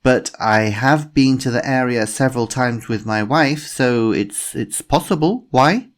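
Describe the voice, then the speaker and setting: A man's voice: mid-range voice, measured pace, voice pushed up, lightly acted. A man voicing a father who speaks carefully and guardedly, somewhat on edge. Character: father, uneasy, guarded, polite.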